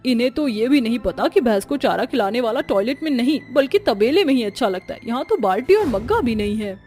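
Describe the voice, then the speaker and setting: A woman's voice: fairly high pitch, lively intonation, fairly quick, a little mellow, faint music behind, lightly acted. A woman voicing a feisty, naive poor village daughter-in-law in a cartoon story, plain-spoken and protesting. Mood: baffled, protesting.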